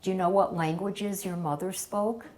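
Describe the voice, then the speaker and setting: A woman interviewing a survivor for an oral history, asking brief, gentle questions. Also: fairly low pitch, fairly even intonation, moderate pace.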